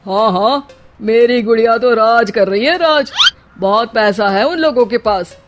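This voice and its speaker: A woman's voice: a little high, unhurried, music in the background, lightly acted. A woman voicing a boastful, bossy, hot-tempered middle-aged father in a cartoon story. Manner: blustering, commanding.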